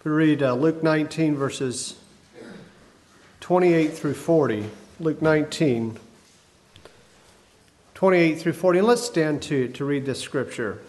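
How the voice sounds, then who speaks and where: Guy preaching sunday sermon, kinda hoarse from a cold, folksy and halting, lots of ums. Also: on the high side, a little mellow. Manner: folksy, hesitant with many fillers.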